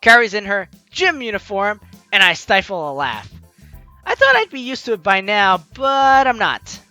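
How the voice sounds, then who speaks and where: A young man plays through a story game with bright, forceful energy. His pitch swings widely as he chats playfully and reads out lines dramatically, with music running behind him. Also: sing-song, normal speed, no room sound. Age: young adult. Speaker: let's player hosting a game series. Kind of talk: lively game commentary, reading dialogue aloud.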